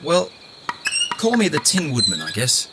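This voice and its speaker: A man's voice: on the high side, wildly swooping pitch, unhurried, very noisy, heavily acted. A man playing a gloomy, curt tin woodman in an audio drama, dry, weary and self-deprecating.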